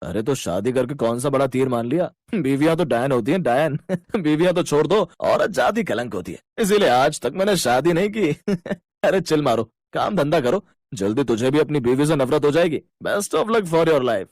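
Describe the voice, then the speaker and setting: A man's voice: fairly high pitch, expressive, normal speed, darkish tone, dry recording, clean studio sound. A man voicing a rude, mocking male supervisor in a cartoon story, with a sarcastic, teasing manner.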